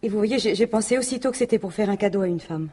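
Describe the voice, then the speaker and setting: Radio mystery widow, female actor: French, dark and raspy tone, plain-spoken, jealous, guarded, stumbling over her phrases. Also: mid-range voice, lightly acted. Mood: confiding. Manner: halting, colloquial, defensive. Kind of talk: 1950s-style French radio mystery acting.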